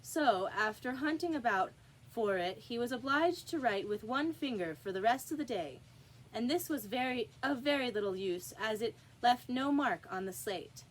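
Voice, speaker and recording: Female storybook narrator, fairly high voice, expressive reading, faint noise on the recording. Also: moderate pace, poor audio. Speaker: storybook reader.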